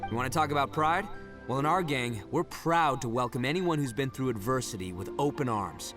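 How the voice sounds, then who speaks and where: A man's voice: medium pitch, a little fast, natural voice. An actor playing a brash, boastful young male oni gang leader who laughs often and talks in slang, in a video game. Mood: proud. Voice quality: loud, rowdy and cheerful. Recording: game audio with music behind.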